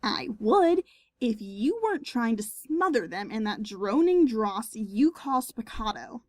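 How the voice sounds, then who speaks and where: A woman's voice: fairly high pitch, very animated, unhurried, a little mellow, dry recording, clean studio sound. A woman voicing a taunting female pony musician, sarcastic and needling, in a dramatised story reading. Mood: snide.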